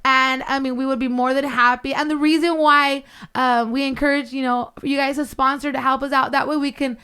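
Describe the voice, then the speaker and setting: A woman's voice: high-pitched, little pitch movement, fairly quick, sharp tone. A woman hosting a casual podcast in an enthusiastic way, slipping Spanish words into her talk. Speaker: podcast host.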